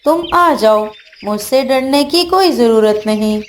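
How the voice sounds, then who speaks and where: Commanding, high-voiced mother eagle played by a woman. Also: expressive, slow, slight background noise. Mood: magnanimous. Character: stern, protective mother eagle, threatening but fair. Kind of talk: Hindi children's cartoon dialogue.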